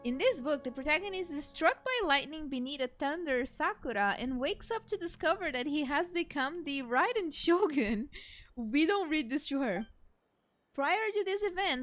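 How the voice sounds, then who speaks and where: A woman's voice: high-pitched, animated, moderate pace. A woman doing a playful let's play, casually reacting and reading game dialogue aloud. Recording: game music behind.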